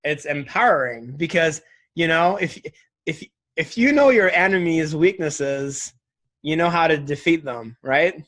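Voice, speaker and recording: A man's voice: a little high, lively intonation, a little slow, fairly bright tone. A man in his twenties talking casually and reflectively as an interview guest, earnest, often saying "like" and "right?". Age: 20s.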